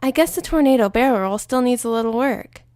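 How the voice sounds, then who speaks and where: A woman's voice: on the high side, fairly quick, darkish tone, no room sound, clean studio sound, natural voice. A woman voicing a brash, sporty female pegasus pony who talks in a blunt, cocky way.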